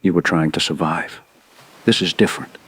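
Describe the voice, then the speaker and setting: An actor playing a grim informant in an audio drama, speaking in grave, measured and emphatic warnings. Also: male voice, low voice, fairly bright tone, slightly rough. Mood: urgent warning. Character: grim male informant warning of bioweapon outbreak.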